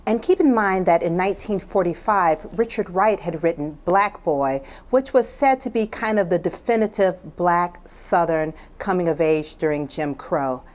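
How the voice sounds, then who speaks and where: A woman's voice: lowish voice, a little mellow. A woman with an American accent speaking as a writer and guest in a thoughtful broadcast discussion.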